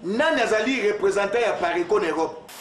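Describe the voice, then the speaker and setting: A Congolese man speaks in a very high voice. He is confrontational and questioning as he talks politics. The room around him is strongly echoey. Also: lively intonation, a little fast, slight background noise. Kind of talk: challenging political talk.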